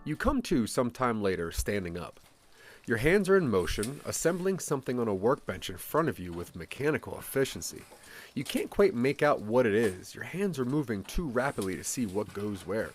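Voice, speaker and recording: A man's voice: mid-range voice, very animated, normal speed. A man narrating a scripted second-person audio drama in a dramatic storytelling delivery. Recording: music under the voice. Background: background music.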